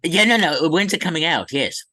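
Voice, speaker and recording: A man's voice: a little high, lively intonation, normal speed, bright, forceful tone. A man hosting a polite arts interview, asking questions and responding to his guest. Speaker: interviewer, host.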